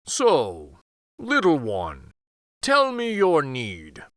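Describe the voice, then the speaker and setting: A man voicing a big, friendly, easygoing magical bear with a drawn-out, unhurried way of talking. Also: on the high side, huge pitch swings, fairly bright tone, dry recording, studio quality, exaggerated voice. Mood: drowsy, kindly.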